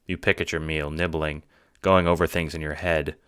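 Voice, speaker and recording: A man's voice: extremely low pitch, little pitch movement, normal speed, fairly bright tone, smooth, no room sound, clean studio sound. A man reading scripted second-person audiobook narration with a dramatic storytelling delivery.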